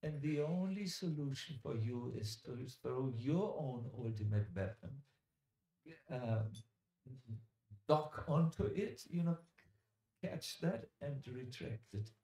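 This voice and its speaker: A man giving a sermon as a religious teacher, telling scripture stories deliberately, with dramatic pauses and direct appeals to his listeners. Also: mid-range voice, expressive, mellow. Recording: echoey lecture hall sound.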